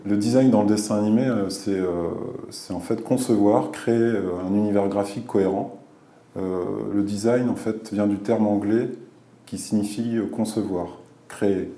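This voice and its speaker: A man describing animation design in a documentary interview, halting and repeating words. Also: on the low side, steady tone, mellow, echoey room. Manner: hesitant, repeats words, many fillers.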